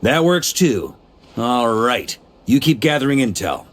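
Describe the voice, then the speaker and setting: Animated, confident knight commander. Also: male voice, mid-range voice, unhurried, slight background noise. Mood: friendly. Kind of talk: video game character acting.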